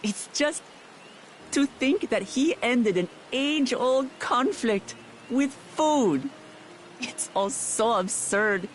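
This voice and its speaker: An actress playing a polite, good-humoured young heroine in a fantasy video game, speaking earnestly and deliberately. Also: female voice, a little high, expressive, slight background noise, low-quality recording. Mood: amused. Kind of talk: scripted video game dialogue.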